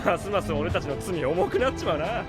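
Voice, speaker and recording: A cocky spaceship pilot with a very high, animated voice. His talk is rough, wry and slangy in sci-fi audio drama style, under loud music. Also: brisk.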